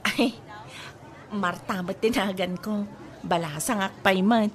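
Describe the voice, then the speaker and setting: A woman's voice: mid-range voice, takes it slowly, some chatter behind, natural voice. A woman playing a coy, playful unmarried market vendor in an Ilocano radio comedy drama, with an Ilocano accent. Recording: music behind the voice.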